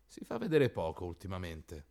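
A man's voice: deep voice, wildly swooping pitch, moderate pace, creaky, mellow, full character voice. A man acting in an absurdist radio play as an inquisitive, literal-minded tea stall man, questioning and repetitive. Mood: puzzled, inquisitive. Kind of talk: absurdist radio drama dialogue.

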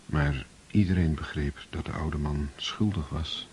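A man narrating a radio play in a measured, solemn way. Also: a bass voice, darkish tone, a little hiss or hum.

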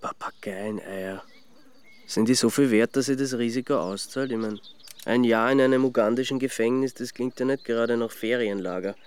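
A man's voice: mid-range voice, normal speed, smooth, natural voice. An actor playing an inquisitive, sceptical man with an Austrian accent in a radio crime drama, casual and questioning. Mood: incredulous. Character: inquisitive, sceptical man asking about a murder case. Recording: music behind the voice.

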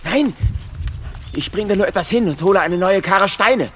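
An actor playing a simple, wary country carter in a German radio drama, blunt and halting, often repeating himself. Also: male voice, high voice, expressive, fairly bright tone, a little hiss or hum.

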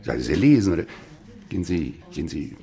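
A man's voice: low-pitched, sing-song, fast talker, warm tone. An actor playing a wry, courteous older man in an improvised radio drama, droll and self-deprecating. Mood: wry, flirtatious.

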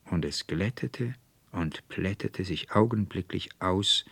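A man with a standard German accent narrating a literary fairy tale in a measured, dramatic, precise manner. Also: sits low, creaky, fairly bright tone, no room sound. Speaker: audiobook narrator.

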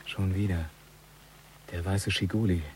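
Deep-voiced, wary criminal accomplice. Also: male voice, fairly even intonation, unhurried, background noise. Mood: uneasy, sceptical. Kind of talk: German radio crime drama acting.

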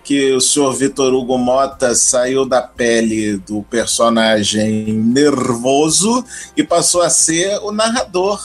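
Homem brasileiro de fala pausada, com música. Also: male voice, mid-range voice, soft background music. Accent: Brazilian. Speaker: podcast host. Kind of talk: casual podcast conversation.

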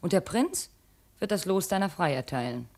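Low female voice, animated intonation, self-assured scheming rival, haughty, 1950s radio drama. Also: moderate pace. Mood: coldly confident.

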